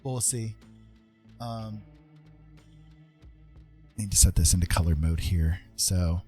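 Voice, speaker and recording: A man chatting casually on an art livestream, thinking aloud in a rambling, halting way as he works. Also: deep voice, warm tone. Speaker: livestream host, digital artist. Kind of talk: casual chat while drawing on a livestream. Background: background music.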